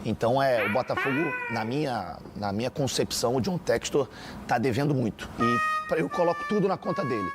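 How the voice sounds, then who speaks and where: A man's voice: medium pitch, animated, fairly quick, over loud music. Opinionated Brazilian man debating football. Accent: Brazilian Portuguese. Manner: opinionated, builds arguments with repeated phrases. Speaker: sports panelist.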